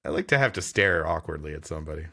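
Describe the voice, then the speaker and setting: Man, comedy podcast co-host, bright voice, lively pitch, story reading, banter. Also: racing. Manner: reads narration then jokes, laughs often.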